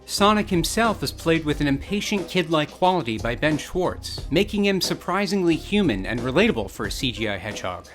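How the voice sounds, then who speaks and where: Man narrating over music, slightly high voice. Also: very animated, brisk, hardly any pauses. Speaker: narrator of a film essay video. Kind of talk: scripted narration, video essay. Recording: music bed under the voice. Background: background music.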